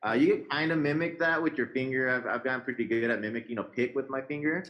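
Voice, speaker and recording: A man's voice: mid-range voice, quick pace, lo-fi sound. A man chatting casually as a musician guest, explaining things in an easygoing way. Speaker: bass player, guest. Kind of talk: casual chat.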